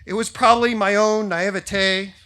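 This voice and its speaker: A man in his forties with an American accent, delivering a prepared ceremonial speech in measured phrases with deliberate pauses. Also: high voice, steady tone, bright, forceful tone. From grew up in Japan, then suburban Detroit, US. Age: 40s. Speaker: school faculty member giving a valedictory speech. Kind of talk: prepared formal speech to graduating class.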